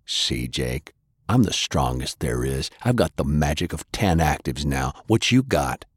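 A man voicing a boastful, menacing brute with a gruff, low growl, in an audiobook. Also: sing-song, measured pace, dry recording, studio quality, full character voice. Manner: sneering, boastful. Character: brutish, taunting undead-tough brother, boastful and menacing. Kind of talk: audiobook character acting.

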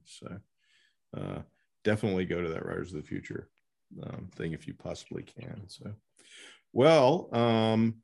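A middle-aged man hosting a casual interview as an editor, talking in a rambling, halting way. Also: low voice, expressive, gravelly, darkish tone, husky. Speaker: podcast host, book editor.

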